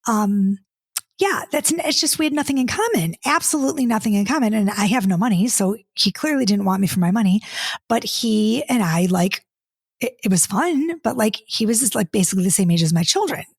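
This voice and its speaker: A woman's voice: mid-range voice, animated, fairly quick, husky, no echo, clean studio sound. A woman, hosting a casual solo podcast. She talks in an exasperated, chatty way, stumbles over restarts and reads listener comments aloud before answering them. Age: 50s. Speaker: podcast host and dating coach.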